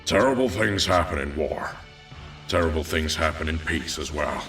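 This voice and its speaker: An actor playing a noble, weary robot leader with a measured, grave and formal delivery. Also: male voice, a bass voice, fairly bright tone, far from the mic, faint music behind. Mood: reflective, grave. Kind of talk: scripted narration and solemn oratory.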